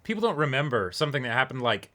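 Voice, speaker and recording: A man's voice: mid-range voice, big pitch swings, racing, fairly bright tone. A man co-hosting a comic read-along stream, chatting informally, joking and reading dialogue aloud.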